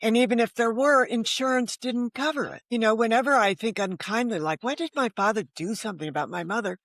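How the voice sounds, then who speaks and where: A woman's voice: on the high side, fast talker, fairly bright tone, dry recording. An American woman in her seventies chatting in a casual interview, anecdotal and emphatic in how she talks. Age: 70s. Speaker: podcast guest, novelist.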